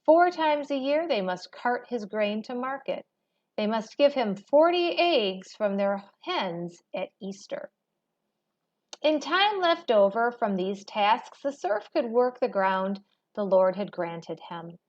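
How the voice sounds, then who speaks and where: A woman reading a history textbook aloud as a teacher, in a measured, expository manner. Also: fairly high pitch, expressive. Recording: dull, lo-fi recording.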